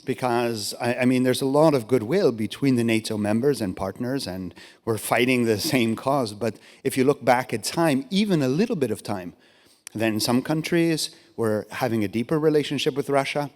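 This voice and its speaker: A man's voice: medium pitch, normal speed, a little mellow. A man in the audience asking the panel a question, conversational and correcting himself mid-sentence. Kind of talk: question from the floor. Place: large conference hall. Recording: long hall reverb.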